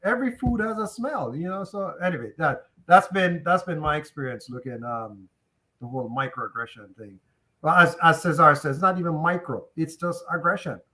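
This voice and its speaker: A man's voice: a little high, lively intonation, normal speed, pushed and bright. A man with a Jamaican accent speaking as a panelist in an online discussion, telling candid personal anecdotes in a conversational way over a video call.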